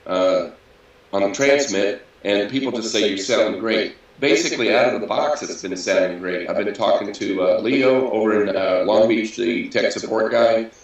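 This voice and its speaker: Bassy, raspy man in his sixties. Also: moderate pace, highs cut off. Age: 60s. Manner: hesitant, many fillers and restarts. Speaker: interview guest. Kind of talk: casual interview over a video call. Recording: echoey audio captured from a PC.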